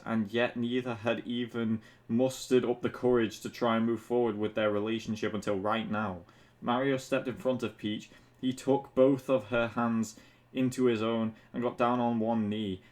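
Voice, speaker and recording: A man's voice: mid-range voice, steady tone, moderate pace, a little mellow. A man reading fan fiction aloud as a narrator, breaking off into casual, mocking asides with some swearing. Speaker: reader of fan fiction for an online video.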